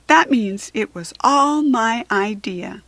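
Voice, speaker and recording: Slow, deliberate American woman storyteller. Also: on the high side, no room sound. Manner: deliberate, expressive, clearly phrased for young learners. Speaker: children's book reader. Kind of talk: read-aloud storytelling for children.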